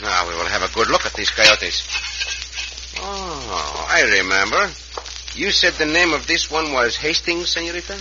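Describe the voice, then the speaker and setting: An actor playing a gallant, commanding Mexican cowboy hero with a Mexican accent in an old radio western. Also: male voice, mid-range voice, very animated, normal speed, highs cut off, lightly acted. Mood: triumphant. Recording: noisy old radio recording.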